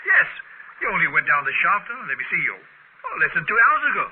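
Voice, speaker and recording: A man acting as a blunt, practical farmer in an old radio drama, brisk and questioning. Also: sits high, sing-song. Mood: surprised. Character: Dirk, blunt practical farmer, startled but scheming. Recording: tinny, noisy vintage radio recording.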